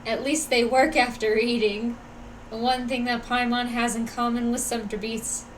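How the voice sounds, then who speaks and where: A woman's voice: a little high, level tone, moderate pace, slight background noise, low-quality recording. A woman streamer giving casual live commentary on a game. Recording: game music behind. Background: game music.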